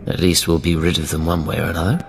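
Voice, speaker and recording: An actor playing a cool, wry imperial secret agent in a video game, dry and understated. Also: male voice, sits low, level tone, fast talker, creaky. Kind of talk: video game cutscene acting. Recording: reverberant room, music underneath.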